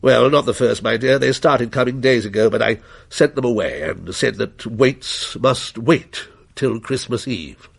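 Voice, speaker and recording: A man's voice: mid-range voice, a little slow, gravelly, hardly any pauses, slightly rough. A man reading a story, voicing a genial, wry middle-aged father.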